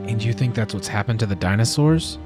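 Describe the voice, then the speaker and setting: A man playing an earnest, calm, technical geneticist in an audio drama. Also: on the low side, fairly quick, soft background music, lightly acted. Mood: calm, questioning.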